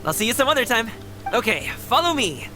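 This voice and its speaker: A woman voicing a friendly, energetic and chatty young female guard in a video game, with a casual, considerate way of talking. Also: fairly high pitch, a little fast. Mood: chatty, cheerful. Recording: game music underneath.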